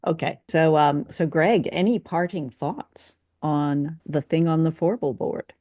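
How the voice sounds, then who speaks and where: A woman's voice: low-pitched, unhurried, mellow, no echo, clean studio sound. A woman co-hosting a casual review chat, speaking in a musing, wry way. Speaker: podcast co-host.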